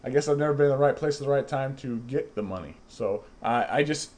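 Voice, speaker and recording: A man with a middle-pitched voice co-hosts a comedy radio bit, keeping a deadpan tone. He hesitates often and trips over restarts. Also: quick pace. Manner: hesitant, many fillers and restarts. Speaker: radio show co-host.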